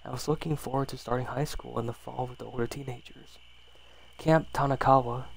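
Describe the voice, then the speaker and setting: Gravelly man reading a creepy bedtime story. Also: mid-range voice, low-quality recording. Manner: stumbles and rereads phrases.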